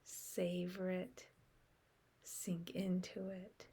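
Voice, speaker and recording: A woman guiding a meditation in a soft, unhurried way with long pauses, her breathy voice often trailing off into a whisper. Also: fairly low pitch, monotone. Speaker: meditation guide. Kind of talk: guided meditation.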